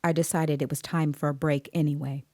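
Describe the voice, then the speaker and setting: An actress playing a composed, observant female interviewer, narrating an audio drama in a measured, precise way. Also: deep voice, flat delivery, natural voice. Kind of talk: scripted first-person dramatic narration.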